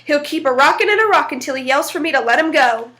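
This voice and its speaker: A woman reading aloud, voicing a mean, cantankerous old male blacksmith with a gruff, drawling folksy manner. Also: high-pitched, lively intonation, fast talker, pushed and bright. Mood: scheming.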